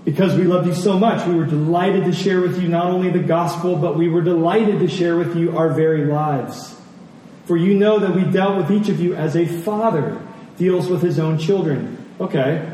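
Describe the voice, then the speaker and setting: In a very reverberant hall, picked up from a distance, a man delivers a sermon. His voice is fairly high and earnest. He teaches conversationally, repeating key phrases and posing questions to his listeners. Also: normal speed, mellow. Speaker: preacher. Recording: very echoey hall, distant mic, faint noise.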